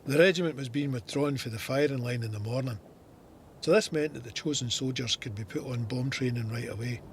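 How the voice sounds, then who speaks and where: An actor playing a stoic Scottish First World War soldier, narrating his memoir in a measured, matter-of-fact way. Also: medium pitch, a little hiss or hum, natural voice. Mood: sombre, matter-of-fact. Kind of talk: scripted memoir narration in an audio drama.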